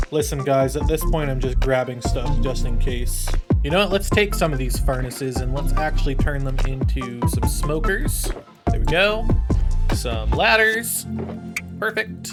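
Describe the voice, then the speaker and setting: A man with a fairly high voice hosts a gaming video. He talks casually through what he is doing, playful and chatty, as if thinking aloud. Music plays clearly behind him. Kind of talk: casual gameplay commentary over a block-building game.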